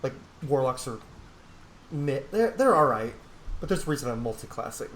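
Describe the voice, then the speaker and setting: A man casually playing and commenting on a role-playing game. His mid-range voice swings up and down in a lively way. The recording is roomy, with faint noise. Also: mid-range voice, moderate pace. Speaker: gaming video host, role-player. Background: video game audio.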